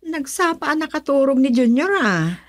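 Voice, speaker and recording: Higher-voiced gentle Ilocano young wife. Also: female voice, big pitch swings, moderate pace, no echo, studio quality. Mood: gentle, uneasy. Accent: Filipino, Ilocano. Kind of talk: radio drama acting.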